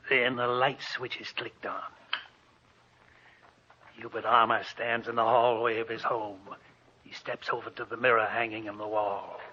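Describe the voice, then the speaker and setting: An actor playing a spooky, gloating old hermit who narrates murder in theatrical 1940s radio horror style. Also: male voice, mid-range voice, level tone, lightly acted. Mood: ominous. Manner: theatrical, ominous, slow and deliberate. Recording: dull noisy old radio recording.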